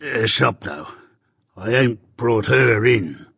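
A man doing a rough old ex-convict. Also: mid-range voice, animated, takes it slowly, a bit bright, dry recording, clean studio sound, heavily acted. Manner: rough rustic dialect, confiding storytelling. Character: rough older male ex-convict telling his past.

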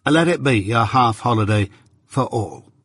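A man's voice: medium pitch, animated, measured pace, a bit bright, breathy edge, no room sound, clean studio sound. Storybook master smith, male reader: rustic, simple-hearted, mild-tempered yet firm, keeping the peace. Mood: fair-minded. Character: plain-spoken, patient master blacksmith, mild but firm.

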